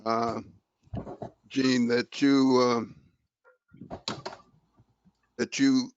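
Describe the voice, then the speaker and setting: A man making a suggestion in an online meeting in a halting, tentative way. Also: mid-range voice, flat delivery, a little hiss or hum. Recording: dull, dry lo-fi call audio.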